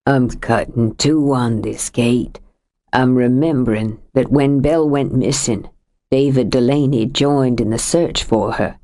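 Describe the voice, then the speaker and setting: Woman reading as a stubborn widower full of grief, lowered voice, slow heavy delivery. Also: warm tone. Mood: grieving. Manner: low, heavy and bitter. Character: grieving stubborn middle-aged widower, rough-edged. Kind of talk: audiobook character reading.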